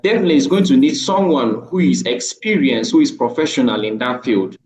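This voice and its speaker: A man's voice: mid-range voice, lively intonation, brisk. A man in a panel debate, talking emphatically and often adding "right?". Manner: emphatic, with frequent "right?" tags. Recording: dull, echoey room.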